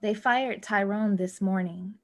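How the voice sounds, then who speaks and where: An actress playing an anxious, plainspoken young building employee in a naturalistic play reading. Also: female voice, mid-range voice, a little slow, lightly acted. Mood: worried, resigned. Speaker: actor in a staged play reading. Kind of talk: naturalistic stage dialogue reading.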